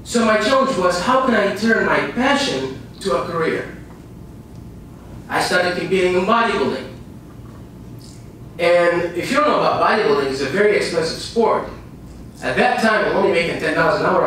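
Man in his 30s from Iraq, high voice, earnest motivational speaker, distant camera in an echoey seminar room. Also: a bit bright, a little hiss or hum. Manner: earnest, repeats lines for emphasis, speaks with pauses. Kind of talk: live seminar talk. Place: dim small room with a projector screen and a small audience. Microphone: far camera, no visible mic. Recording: echoey room recorded from far off.

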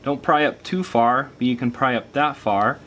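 A man's voice: mid-range voice, normal speed, fairly bright tone, slight background noise. A man hosting a do-it-yourself tutorial, explaining each step in a plain, informal way as he demonstrates. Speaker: repair tutorial host. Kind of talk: casual step-by-step hands-on demonstration.

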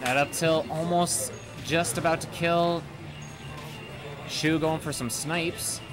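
A man doing steady play-by-play commentary on a fighting game tournament match. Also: on the high side, slow pace. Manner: steady play-by-play, dry jokes. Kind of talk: esports commentary. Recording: game music underneath.

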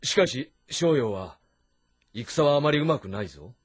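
A shrewd, lordly middle-aged warlord in a historical game drama. His voice is husky and swings widely in pitch, speaking with deliberate authority. Light music plays underneath. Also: animated. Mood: pensive. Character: commanding, shrewd middle-aged male warlord of ancient China.

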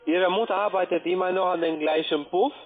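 A man's voice: high voice, fairly even intonation, brisk. A man with a foreign accent, a non-native German speaker, talking as a call centre agent on a sales call. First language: not German. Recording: tinny phone line with music.

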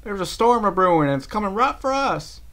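Una pony di campagna decisa e sbrigativa, interpretata da un uomo, con una cadenza rurale del Sud degli Stati Uniti e modi schietti. La voce è spinta in alto e sonora, come in un audiolibro amatoriale. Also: male voice, high voice, very animated, a little fast, pushed and bright, pitched above the performer's own voice, exaggerated voice. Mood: impatient. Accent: rural Southern US put-on drawl. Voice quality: folksy, blunt country manner. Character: plucky country female earth pony, blunt and no-nonsense.

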